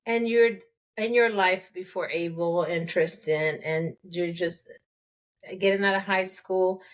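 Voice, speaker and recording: A woman's voice: fairly low pitch, steady tone, measured pace. A woman hosting a casual podcast, asking direct questions in a chatty, candid way. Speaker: podcast host.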